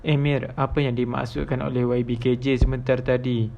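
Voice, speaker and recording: A man's voice: mid-range voice, little pitch movement, normal speed, vocal fry, dark tone, close to an everyday voice. A young man with a Malaysian accent playing a curious, chatty housemate in an amateur animation. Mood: puzzled. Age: young adult. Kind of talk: scripted amateur animation dialogue.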